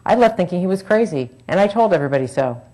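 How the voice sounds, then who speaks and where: A woman's voice: lowish voice, expressive, fast talker, mellow. A woman in her forties reading a frank, earnest personal talk at a public forum, with wry asides.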